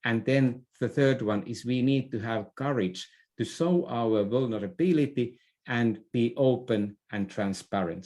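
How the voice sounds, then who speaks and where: A man's voice: on the low side, a little mellow. A middle-aged man gives advice in a conversational interview, often hesitating and repeating words. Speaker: podcast guest, business expert.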